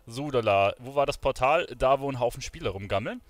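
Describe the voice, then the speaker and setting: Male game streamer, dry close sound, informal and chatty, thinking out loud as he plays. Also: medium pitch, expressive, a little fast, dry recording. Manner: informal, colloquial, thinking aloud. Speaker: streamer playing an online role-playing game. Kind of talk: casual gameplay commentary.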